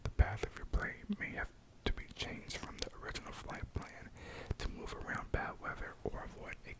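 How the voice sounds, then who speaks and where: A man whispering and speaking softly as he reads aloud for ASMR, hushed and gentle, with a breathy sound. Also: sits low, poor audio. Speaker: ASMR host. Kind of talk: ASMR, whispered and soft-spoken article reading.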